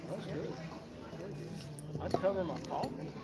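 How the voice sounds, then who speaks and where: High-voiced guy joking around from off the mic, echoey and really noisy. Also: measured pace. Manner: joking, chatty. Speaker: customer at the table. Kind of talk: casual chat. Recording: distant, echoey, noisy mall.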